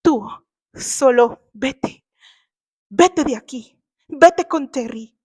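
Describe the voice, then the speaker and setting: A woman's voice: high-pitched, extremely slow, a little mellow, breathy edge, no room sound, studio quality, full character voice. Woman acting out a stern dying father, urgent and breathless. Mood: desperate, urgent. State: weakening, struggling for breath. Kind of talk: dramatic audio novel reading.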